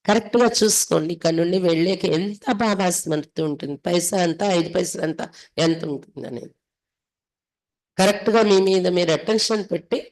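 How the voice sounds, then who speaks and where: A woman's voice: on the low side, fairly quick, darkish tone, low-quality recording. Woman giving a devotional sermon. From India. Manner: instructive, with emphatic repetition and rhetorical questions. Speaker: spiritual teacher. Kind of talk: devotional reading with explanatory sermon.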